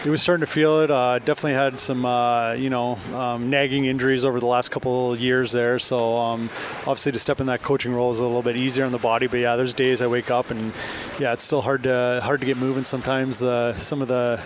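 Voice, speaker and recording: A man in his thirties, an assistant hockey coach, talking in a measured way with many fillers. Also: medium pitch, level tone, slight background noise. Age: 30s. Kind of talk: casual interview chat.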